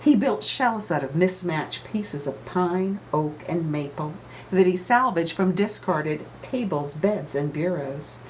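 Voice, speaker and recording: Animated woman reading a children's storybook. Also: fairly low pitch, slow, a little hiss or hum, low-quality recording. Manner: animated, dramatic storytelling with varied emphasis. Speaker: author reading own picture book aloud. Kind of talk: expressive children's storybook read-aloud.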